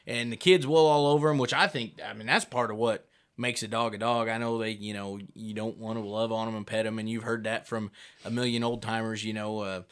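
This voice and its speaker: A man in relaxed podcast conversation, agreeable and folksy in how he talks. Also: fairly low pitch, fairly quick, clear, resonant tone. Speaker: coon hunter in a podcast conversation. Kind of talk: casual chat.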